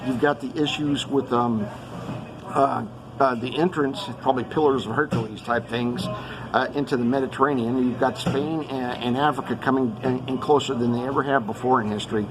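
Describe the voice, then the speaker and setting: A man's voice: medium pitch, fairly even intonation, moderate pace, background noise. A man who grew up around Los Angeles gives a rambling monologue, listing one place after another with many fillers. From Los Angeles area, California. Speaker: host giving an earth-changes briefing.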